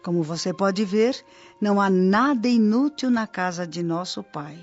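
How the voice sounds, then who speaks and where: An actress as a kindly old Brazilian spirit nurse. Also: female voice, medium pitch, unhurried, lightly acted. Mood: wise, serene. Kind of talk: radio drama acting in Brazilian Portuguese. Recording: dull lo-fi audio with music bed. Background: background music.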